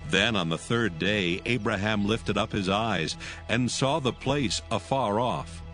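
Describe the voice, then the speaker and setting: A man narrates scripture from a script. His voice is on the low side, and faint music plays underneath. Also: measured pace, fairly bright tone.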